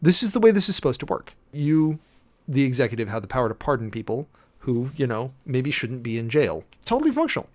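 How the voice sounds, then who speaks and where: Fast-talking, sardonic American man. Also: medium pitch, sing-song. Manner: sardonic, emphatic, mock-exasperated asides. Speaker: preacher and commentator. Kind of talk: dramatic reading with off-the-cuff commentary.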